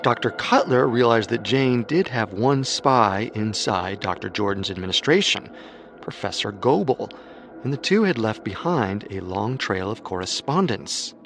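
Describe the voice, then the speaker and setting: A man narrating a scripted true-crime story as co-host, measured, with dramatic storytelling emphasis. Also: fairly low pitch, animated, a bit bright, faint music behind.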